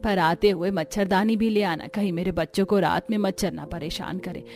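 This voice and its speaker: A woman's voice: on the low side, steady tone, moderate pace, darkish tone, soft background music, close to an everyday voice. A woman playing a fond, sentimental elderly village grandmother in a cartoon story. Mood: happy anticipation.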